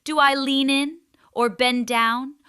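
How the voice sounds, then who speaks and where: A brave, clever little storybook sister, played by a woman, earnest and innocent. Her voice is lifted high and bright, with unhurried words. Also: steady tone, full character voice. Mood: feigned confusion.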